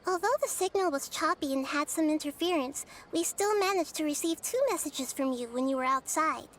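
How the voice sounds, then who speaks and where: A woman playing a small, childlike girl sage in a video game, composed and gentle as she explains. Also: high-pitched, a little fast. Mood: thoughtful. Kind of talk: video game character dialogue.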